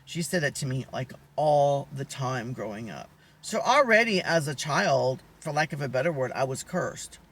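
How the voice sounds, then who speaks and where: A young man with a high voice, telling personal stories in a rambling, candid way, often losing his thread. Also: big pitch swings, normal speed, fairly bright tone. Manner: rambling, candid, lots of restarts and asides. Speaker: vlogger. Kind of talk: casual storytelling to camera.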